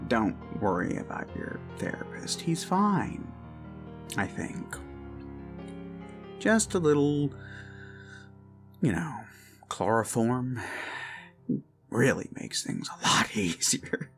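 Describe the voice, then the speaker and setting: Creepy possessive boyfriend, acted by a man for ASMR roleplay: slow, swooping delivery, mock-sincere coaxing that slips into whispers. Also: mid-range voice. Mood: glib, menacing. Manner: theatrical, coaxing, deliberate with pauses, drifting into whispers.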